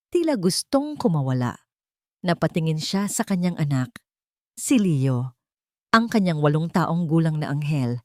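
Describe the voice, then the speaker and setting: A woman's voice: low voice, a little mellow, no room sound, studio quality. A woman narrator reading a scripted romantic drama story with dramatic delivery.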